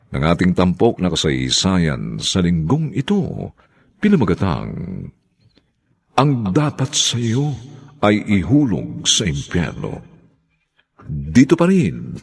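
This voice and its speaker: A man hosting a radio drama, giving a formal scripted introduction in a deliberate, dramatic manner. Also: sits low, vocal fry, husky. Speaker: radio drama host and narrator.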